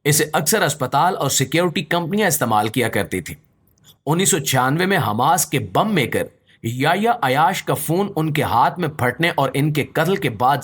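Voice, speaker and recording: A man's voice: fairly high pitch, a bit bright, husky. A man reading a scripted news explainer in a formal manner, addressing viewers directly. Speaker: news explainer video narrator.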